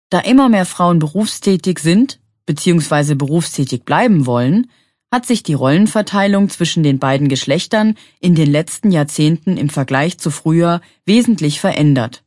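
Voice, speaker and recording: An actress playing a polite radio show moderator, speaking in a formal, scripted way. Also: female voice, low voice, expressive, normal speed, darkish tone, no echo, clean studio sound. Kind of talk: scripted exam dialogue.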